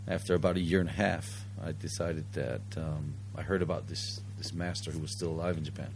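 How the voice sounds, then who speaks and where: A Danish-raised man, a guest, telling his story in quick mixed Japanese and English. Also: a bass voice, steady tone, gravelly, noisy background. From Denmark. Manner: rapid, switches between Japanese and English mid-story. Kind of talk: bilingual casual interview.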